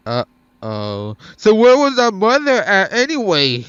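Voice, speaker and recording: A male gamer with a smooth, fairly high voice talks casually through his play, thinking out loud in halting bursts. He is close to the mic in a dry, slightly dull recording. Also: wildly swooping pitch, no echo. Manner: hesitant, thinking aloud, profane. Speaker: gaming streamer. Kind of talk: casual let's play commentary.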